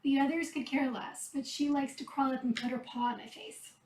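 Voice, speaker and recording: High-voiced woman losing her voice. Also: fairly even intonation, fairly quick, echoey room, recorded from a distance, slight background noise, poor audio. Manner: chatty, soft-spoken, talks to the cats between remarks. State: losing her voice, a bit hoarse. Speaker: cat rescuer. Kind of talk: casual chat while tending kittens. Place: kitten room indoors.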